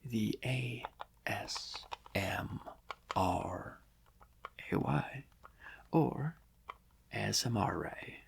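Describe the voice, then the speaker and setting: Slow, whispery man as a gloating villain. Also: fairly low pitch, exaggerated voice. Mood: sly, boastful. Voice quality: breathy, husky, often whispered. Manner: slow, savouring, gloating, with drawn-out pauses. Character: gloating male criminal mastermind villain, smug and theatrical. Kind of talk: soft-spoken ASMR roleplay.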